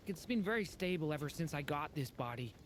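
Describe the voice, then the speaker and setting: Low-pitched, shy young boy. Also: animated, brisk, slight background noise. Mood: reassuring, unsure. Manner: hesitant, apologetic. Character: Durin, shy gentle young boy, earnest and unsure. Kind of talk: anime video game voice acting.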